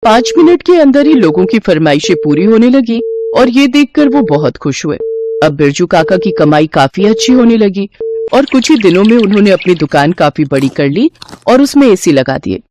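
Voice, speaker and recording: A woman's voice: medium pitch, very animated, moderate pace, dark tone, slight background noise. A woman narrating a scripted story as the storyteller.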